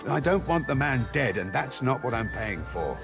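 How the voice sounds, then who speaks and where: An actor playing a proud middle-aged tavern keeper and former sailor, chatty and hospitable in a bluff way. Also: male voice, medium pitch, sing-song, moderate pace, creaky. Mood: businesslike. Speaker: innkeeper. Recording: game soundtrack under the voice. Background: music.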